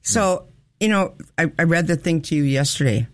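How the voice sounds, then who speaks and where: A woman in her seventies co-hosting a radio show, chatting and reminiscing in a relaxed, friendly way from the studio. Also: deep voice, moderate pace, no echo. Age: 70s. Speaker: radio co-host. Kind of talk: casual radio chat.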